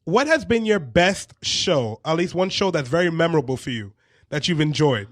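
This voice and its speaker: A man's voice: a little high, very animated, normal speed, dry recording, clean studio sound. A man co-hosting a casual radio chat, speaking earnestly and with slang. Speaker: radio co-host.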